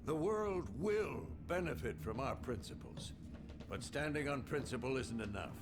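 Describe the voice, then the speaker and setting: An actor playing a stern, domineering middle-aged military schemer in a video game cutscene, with a commanding, rhetorical delivery. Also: male voice, medium pitch, expressive, moderate pace, music in the background, natural voice. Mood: grandiose resolve. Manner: commanding, rhetorical, forceful phrasing.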